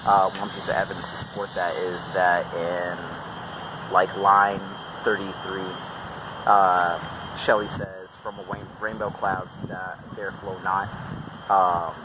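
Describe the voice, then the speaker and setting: A man's voice: level tone, measured pace. A teenage young man in a casual student discussion, speaking tentatively and repeating himself as he goes. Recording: noisy outdoor audio, vehicle rumble.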